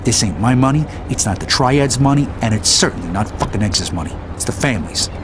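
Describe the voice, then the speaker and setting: An actor playing a world-weary, blunt American mobster in a gritty crime game. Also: male voice, medium pitch, lively intonation, brisk, background noise, lightly acted. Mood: firm, instructive. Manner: tough, blunt, streetwise. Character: world-weary, hard-bitten male mobster, loyal to family. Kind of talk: gritty crime drama narration and dialogue.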